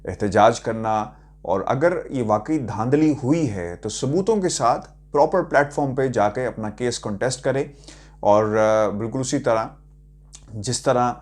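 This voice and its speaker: Halting man giving news commentary. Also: medium pitch, darkish tone. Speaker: political commentator and video host. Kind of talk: news commentary to online viewers.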